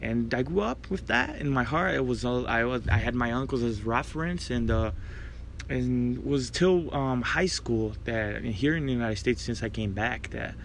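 A man's voice: mid-range voice, lively intonation, moderate pace, vocal fry, a little hiss or hum. A young man, hosting his own faith podcast and sharing a personal testimony in an earnest, grateful, reflective way. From Brazil. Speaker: podcast host and preacher.

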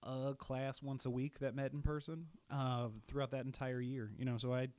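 A man's voice: mid-range voice, level tone, normal speed, a little mellow, smooth, no room sound. Mid-30s guy, chill and chatty, laughs a lot, mid voice, dry sound. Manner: easygoing, laughs often. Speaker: podcast co-host. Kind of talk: casual podcast chat.

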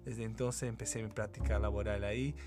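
A Brazilian man in his forties speaking Spanish with a Brazilian Portuguese accent, a company manager reflecting in a relaxed interview, often adding "¿no?". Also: lowish voice, fairly quick. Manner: warm, reflective, often adds "¿no?". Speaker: guest, company general manager. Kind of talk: casual interview chat. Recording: podcast with guitar music behind.

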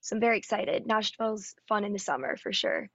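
A woman singer-songwriter with a raspy voice, chatting casually and upbeat in an interview with lots of ums and likes. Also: medium pitch, expressive, a little fast, fairly bright tone, no echo. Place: Nashville area. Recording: dull, dry lo-fi audio.

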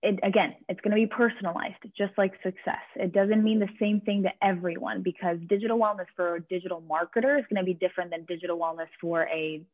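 A woman's voice: on the low side, fast, few pauses, dry recording. Venezuelan woman on a tinny call line. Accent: Venezuelan Spanish. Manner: energetic, rambling with restarts. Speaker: guest, career coach. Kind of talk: podcast interview. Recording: dry, tinny phone-like line.

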